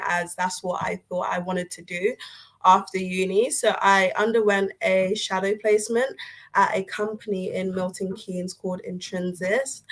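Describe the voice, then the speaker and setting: A woman's voice: mid-range voice, low-quality recording. Chatty young woman intern presenting. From Nigeria. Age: young adult. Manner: chatty, candid, filler-laden. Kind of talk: informal presentation to educators.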